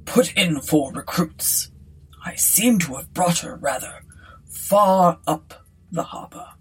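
A woman voicing a cool, genteel male ghost pirate captain in a storybook reading, breathy and unruffled. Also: lowish voice, lively intonation, slow, full character voice, poor audio. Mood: courteous. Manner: gentlemanly, unruffled, leisurely.

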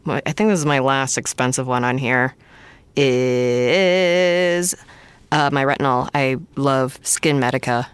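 Low-voiced chatty woman guest. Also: measured pace, smooth, dry recording. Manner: chatty, laughs easily, full of fillers. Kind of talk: casual chat.

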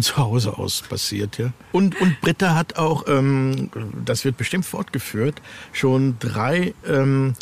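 A man with a German accent speaking as an interviewed author in casual, thoughtful, hesitant conversation. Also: mid-range voice. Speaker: guest author of horror novel series. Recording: clearly noisy background.

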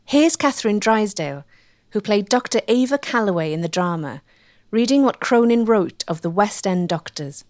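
Female documentary narrator, scripted read, medium pitch, dry studio-tight sound. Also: lively intonation, moderate pace, darkish tone, no echo.

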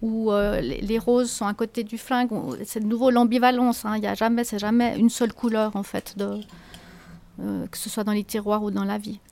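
A woman author being interviewed, answering in a hesitant way with many pauses and restarts. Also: medium pitch, steady tone, gravelly, darkish tone. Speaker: author guest.

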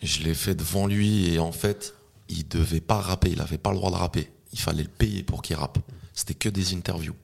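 A beatboxer chats in a relaxed, slangy way, his voice very low and gravelly. He tells his stories loosely, with lots of street talk. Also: brisk. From France. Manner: slangy, laid-back storytelling. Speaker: beatboxer guest. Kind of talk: casual chat.

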